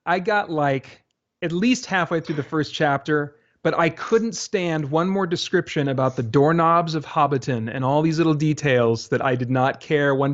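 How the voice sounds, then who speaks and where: A man talking as a podcast guest in an easygoing, informal, self-deprecating way. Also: a little high. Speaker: podcast guest, audio drama creator. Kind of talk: casual interview chat. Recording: dull, lo-fi podcast audio.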